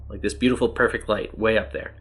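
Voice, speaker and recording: A worn-out man lost for countless years in a strange world, his words coming low and steady, as a man reads him in his own voice. The tone sits a little deep and never strains. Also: fast, husky. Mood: weary. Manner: plain, even reading of written tablets. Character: weary male test subject lost ages in another world.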